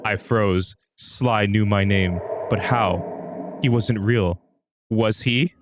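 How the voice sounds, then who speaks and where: Male livestream narrator, fairly low register, reading amateur horror fiction aloud, slight noise behind. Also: slow. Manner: breaks off into amused asides.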